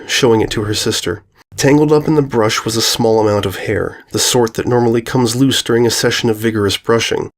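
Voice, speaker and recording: A man narrating a scripted story as an audiobook reader, in a measured, dramatic storytelling manner. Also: on the low side.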